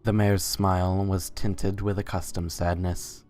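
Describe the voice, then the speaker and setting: A man narrates a scripted story. His pitch is very low and stays level from phrase to phrase. Quiet music plays behind him. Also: level tone, unhurried.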